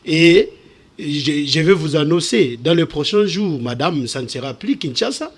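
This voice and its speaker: A man's voice: fairly high pitch, expressive, measured pace, slightly rough. A man with a Congolese French accent speaking as a guest organiser, explaining things courteously and formally in a television interview. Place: television studio set.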